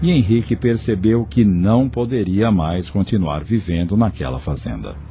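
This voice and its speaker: A man with a husky, mellow voice narrating an audio novel as a dramatic scripted storyteller. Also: fairly low pitch, expressive, moderate pace, dull audio.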